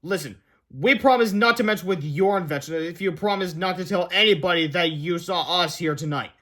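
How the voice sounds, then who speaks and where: A man's voice: high voice, moderate pace, pushed and bright. A man voicing a mischievous young schoolboy prankster with a casual, wisecracking manner in a children's storybook. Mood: cheeky, skeptical.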